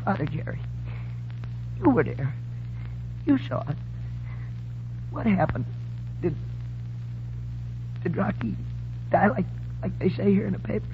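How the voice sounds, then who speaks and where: A man's voice: sits high, sing-song, unhurried, pauses often, noisy background, heavily acted. An actor playing a loyal, hot-headed teenage slum boy in 1930s radio drama style. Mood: anxious. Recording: muffled, humming 1930s radio broadcast.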